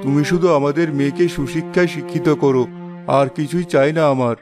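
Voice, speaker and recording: A man playing a calm, dutiful elder brother in a cartoon story, earnest and reassuring. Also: on the high side, fairly quick, music in the background, lightly acted. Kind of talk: Bengali cartoon moral-story voice acting.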